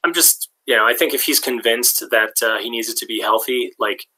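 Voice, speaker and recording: A man's voice: medium pitch, fairly quick, sharp tone, rough recording. A man hosting a casual livestream, answering chat questions in a rambling way with many fillers and restarts. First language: English and Hungarian. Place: lives in Hungary.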